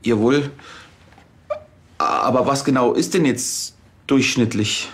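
An actor playing a compliant, naive junior police officer, agreeable and a little uncertain, in a TV crime comedy. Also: male voice, medium pitch, slow, a little hiss or hum, natural voice. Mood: eager to agree.

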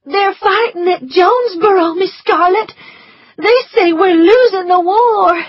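A woman reading a story aloud, voicing a frightened young servant girl in a high, shaky voice close to tears. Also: measured pace, dry recording, dull audio.

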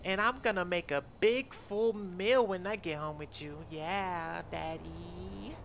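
A woman's voice: lowish voice, slow, vocal fry, slight background noise. A woman playing a flirty, menacing woman who coos teasingly at a captive. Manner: sultry, teasing, drawn out.

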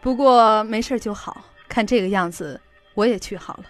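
A woman's voice: mid-range voice, moderate pace, soft background music, natural voice. An actress in an audio drama playing a chatty, fretful middle-aged mother who sells insurance. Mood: caring, brisk. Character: fussy, protective middle-aged working mother, insurance saleswoman.

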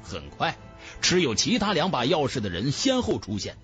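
Guy reading a fantasy audiobook, really animated, highish voice, music under it. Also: normal speed. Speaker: audiobook narrator. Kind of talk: dramatic scripted narration of a fantasy novel.

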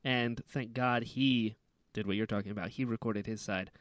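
A man in his twenties, a guest on a podcast over a video call, chatting in an upbeat way with frequent laughs and sudden jumps in pitch. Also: fairly even intonation, moderate pace, dry recording, clean studio sound. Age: 20s. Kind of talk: casual conversational interview.

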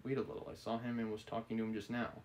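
A man reading the part of an obsessive, menacing gentleman from a novel, insistent and persuasive. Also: lowish voice, little pitch movement, rapid-fire, natural voice. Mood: urgent persuading. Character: obsessive, menacing gentleman pleading with and cornering a woman. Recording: clearly audible background noise.

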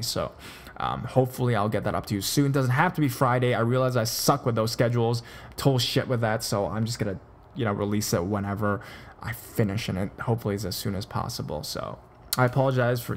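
A man's voice: lowish voice, a little hiss or hum. A man hosting a podcast, chatting casually and rambling, with frequent stammers and restarts. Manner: loose, chatty delivery with many fillers. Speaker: podcast host.

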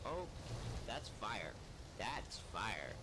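Slow-talking man with a swooping, animated pitch. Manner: sardonic muttering, swearing. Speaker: gamer playing a role-play game. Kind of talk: casual gameplay commentary. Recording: clear background noise, game ambience.

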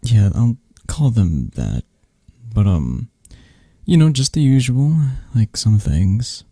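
A man playing a possessive, tender, flirtatious mafia boss in an intimate, soft-spoken ASMR roleplay, murmuring and coaxing with hesitant phrasing. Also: on the low side, big pitch swings, takes it slowly, no echo, clean studio sound, full character voice. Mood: fond, guarded.

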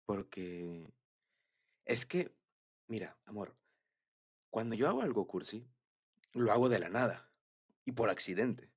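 Low, husky young Mexican man. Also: expressive, measured pace, long pauses. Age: young adult. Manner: rambling, giggly, informal with slang. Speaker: ASMR roleplay creator speaking as boyfriend. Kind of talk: casual intimate boyfriend ASMR chat.

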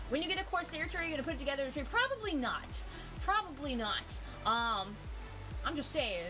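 A woman in her twenties, live-streaming from a gaming room with a desk mic, bubbly and excitable, laughing often. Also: sits high, normal speed, long pauses. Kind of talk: casual live-stream chat. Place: gaming room lit with colored lights. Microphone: desk mic with headset. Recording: music under the voice. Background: background music.

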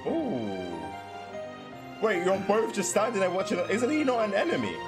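Animated young man reacting over loud music. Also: high voice, moderate pace. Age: young adult. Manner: excitable slangy banter, swearing. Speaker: reaction video host and streamer. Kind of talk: casual reaction commentary. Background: game trailer music and sound.

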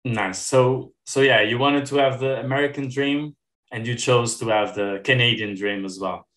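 A man hosts an interview through a headset on a video call, upbeat and restarting his questions. His mid-pitched voice carries a clear room echo. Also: normal speed, fairly bright tone. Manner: upbeat, repeats words when asking. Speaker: podcast host. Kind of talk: interview hosting. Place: indoor room.

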